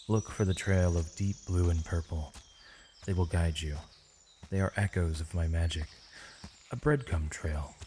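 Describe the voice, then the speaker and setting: A man playing a wise, misunderstood mage in an ASMR roleplay, speaking softly in a solemn, measured, poetic and reassuring way. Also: low-pitched, a little hiss or hum. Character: misunderstood male mage, gentle, wise, mysterious, tenderly reassuring. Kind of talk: ASMR roleplay, scripted dramatic monologue.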